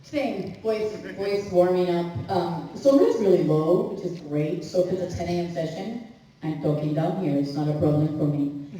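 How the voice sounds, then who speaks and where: A woman voice actor answering a fan's question at a convention panel, relaxed and matter-of-fact. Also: lowish voice, normal speed. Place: large convention hall. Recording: echoey hall from far off.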